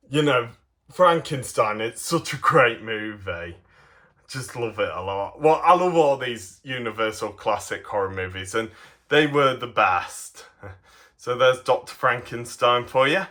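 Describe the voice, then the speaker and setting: A man presents his hobby in a casual show-and-tell. He has a mid-pitched voice and talks with enthusiasm, rambling along and halting partway through sentences. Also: expressive, bright, forceful tone. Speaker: hobbyist presenter of custom toy figures.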